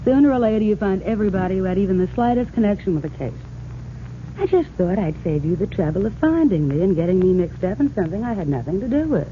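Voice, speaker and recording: Actress as cool, tough dame, tinny sound. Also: female voice, mid-range voice, animated, fast, lightly acted. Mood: guarded, insistent. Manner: cool, blunt, knowing. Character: tough, guarded young dame with a tip. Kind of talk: 1940s radio acting. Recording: tinny, hissy old radio recording.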